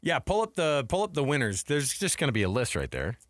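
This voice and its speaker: A man's voice: mid-range voice, wildly swooping pitch, a little fast, fairly bright tone, dry recording, studio quality. An American man co-hosting a sports radio show with casual, chatty, colloquial banter. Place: radio studio. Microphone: close studio microphone.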